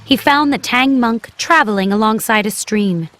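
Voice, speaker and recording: A woman narrating a scripted children's story in a measured, expressive storyteller's manner. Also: on the high side, music in the background.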